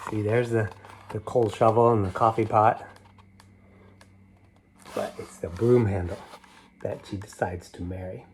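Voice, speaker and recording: A middle-aged man reading a picture book aloud to children, gentle and unhurried, stopping to show the pictures. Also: lowish voice, fairly even intonation, slight background noise. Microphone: camera mic, moving toward it to show pages.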